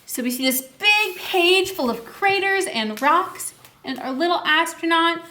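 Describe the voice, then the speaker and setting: A woman hosting story time, reading a picture book to young children in an animated, questioning way. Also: very high-pitched, measured pace.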